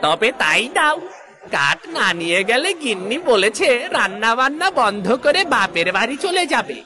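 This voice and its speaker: Bright, drawling henpecked customer voiced by a woman. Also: fairly high pitch, very animated, unhurried, some chatter behind, full character voice. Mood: amused, resigned. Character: haggling henpecked village man buying firewood. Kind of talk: Bengali moral-story cartoon voice acting.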